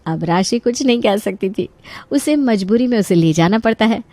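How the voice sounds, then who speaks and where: A woman narrating a scripted animated family story as its storyteller. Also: mid-range voice, moderate pace, dry recording.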